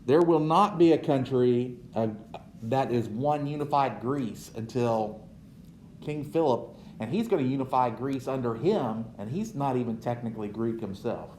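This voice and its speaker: A man giving an unhurried history lecture as a teacher, checking in with "right?" as he goes. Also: medium pitch, darkish tone, echoey room, a little hiss or hum. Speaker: social studies teacher.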